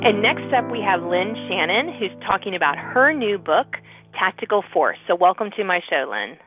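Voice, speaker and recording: A woman's voice: medium pitch, sing-song, normal speed, narrow, tinny audio, soft background music. A woman hosting a casual interview show, informal and eager in how she reacts to answers. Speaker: podcast host interviewing authors.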